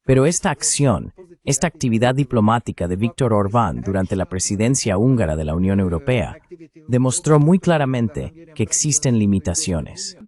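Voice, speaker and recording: A man giving a Spanish voice-over of interview answers, explaining political analysis in a deliberate way with short emphatic phrases. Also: on the low side, lively intonation, a little mellow.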